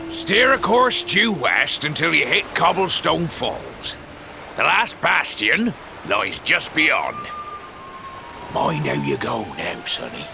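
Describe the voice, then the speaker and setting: A man's voice: mid-range voice, vocal fry, heavily acted. An actor voicing a kindly, wary countryman in a video game, with a folksy way of talking. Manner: folksy, colloquial, muttering asides. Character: kindly, wary rustic countryman warning a youngster. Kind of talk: video game character acting. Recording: orchestral game music behind. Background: game music.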